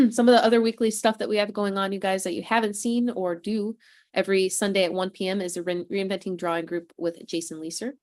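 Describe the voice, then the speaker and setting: A woman hosting a casual livestream on a video call. She is chatty and upbeat and tired after a convention weekend. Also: medium pitch. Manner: chatty, upbeat, clears throat between announcements. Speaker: host.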